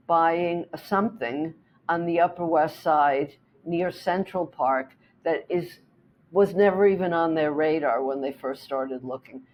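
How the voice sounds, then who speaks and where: A woman real estate agent whose first language is German, calmly explaining her work and telling a client story in an interview. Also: sits low, a little hiss or hum. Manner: steady, explanatory, with small restarts.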